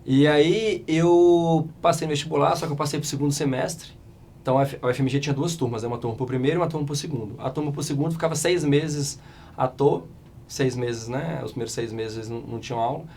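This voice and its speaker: A man in his thirties from Minas Gerais with a Mineiro accent, a hoarse startup founder telling stories as a podcast guest. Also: on the high side, quick pace, slight background noise. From Minas Gerais, Brazil. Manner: chatty, animated storyteller, many restarts. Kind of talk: casual interview storytelling.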